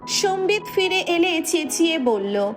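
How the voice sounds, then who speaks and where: A woman's voice: very high voice, sing-song, unhurried, faint music behind. A woman reading a scripted story as its narrator.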